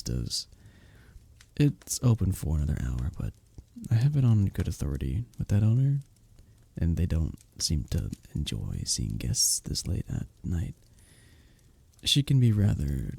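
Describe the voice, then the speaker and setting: Man playing a dark-voiced, flirty medieval innkeeper. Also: deep voice, no echo, exaggerated voice. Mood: warm, hospitable. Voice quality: dark, husky, gravelly. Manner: formal old-fashioned diction, teasing, gently courteous. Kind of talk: romantic ASMR roleplay, scripted character acting.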